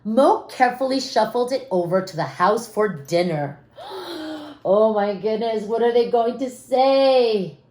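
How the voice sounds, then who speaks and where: A woman reading a children's story aloud expressively, with dramatic pauses and questions to her young listeners. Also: a little high, lively intonation, warm tone, a little hiss or hum. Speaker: storybook reader. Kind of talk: animated children's read-aloud with asides to listeners. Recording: roomy, echoing room sound.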